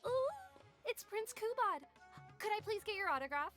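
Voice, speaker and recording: A woman's voice: extremely high pitch, big pitch swings, moderate pace, a bit bright, faint music behind, exaggerated voice. An actress playing a starstruck young female theater fan in a fantasy game, gushing and eager. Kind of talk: animated fantasy video game dialogue.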